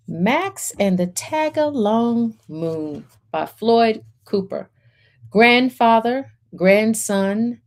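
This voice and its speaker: Slow, mellow-voiced woman storytime host. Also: mid-range voice. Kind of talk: gentle guided centering and picture book read-aloud.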